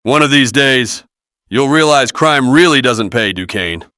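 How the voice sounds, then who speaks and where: A man's voice: medium pitch, animated, a little slow, bright, forceful tone, no room sound, studio quality. A man voicing a cocky, streetwise hero in a plain-spoken game monologue. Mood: defiant. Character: cocky, streetwise male hero recalling his past. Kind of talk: fan-made game character monologue.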